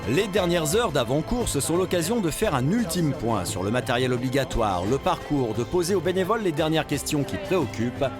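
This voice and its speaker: Lively male voice-over over loud music. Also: on the high side, quick pace, few pauses. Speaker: documentary narrator. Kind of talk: scripted narration.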